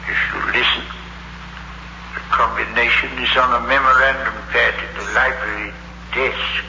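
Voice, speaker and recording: Gravelly, defiant blind old man. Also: mid-range voice, fairly even intonation, moderate pace, sharp tone, a little hiss or hum. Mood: protesting. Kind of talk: 1960s radio suspense acting. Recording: echoey, muffled old radio recording.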